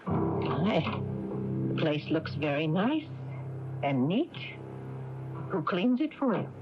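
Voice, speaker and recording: A woman's voice: deep voice, big pitch swings, slow pace, loud music behind the voice, exaggerated voice. An actress playing a prim, reserved older mother with a formal, subdued manner in a 1980s radio drama. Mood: composed, guarded. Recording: dull lo-fi AM radio tape.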